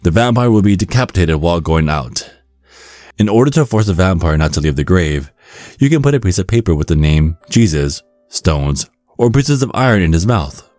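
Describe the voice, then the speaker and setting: Low-pitched man narrating, lively intonation. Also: moderate pace, no echo, soft background music. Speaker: narrator and ASMR performer. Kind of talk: scripted ASMR narration. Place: close, dry recording with music behind.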